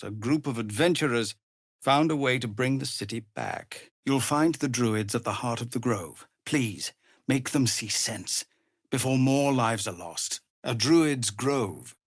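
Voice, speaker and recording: A middle-aged tiefling leader of refugees, grave, dutiful and commanding. His delivery is formal and unhurried, with a mid-pitched voice that swings expressively. It is dramatic video game acting, recorded very dry. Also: lively intonation, husky, no echo. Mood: grave, earnest. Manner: formal, measured, earnest, commanding.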